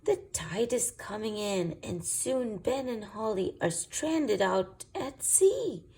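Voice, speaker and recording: A woman reads a children's storybook aloud as host. Her delivery is slow and deliberate, with very lively pitch and a gravelly, husky edge. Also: rough recording. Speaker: children's book reader and channel host. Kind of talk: read-aloud storybook narration.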